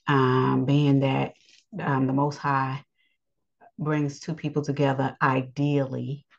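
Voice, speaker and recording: A woman teaching a scriptural lesson on her podcast, her voice scratchy, talking in a rambling way with many pauses and ums. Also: very deep voice, flat delivery, creaky. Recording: dull lo-fi sound.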